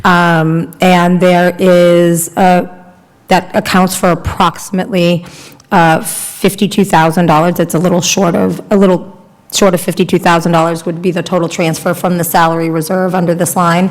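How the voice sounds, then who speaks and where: Firm, low-voiced woman explaining the budget. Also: level tone, normal speed. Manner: firm, matter-of-fact explaining. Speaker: town finance staff. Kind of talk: budget explanation at a public meeting. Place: town meeting room. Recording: long reverb of a meeting room.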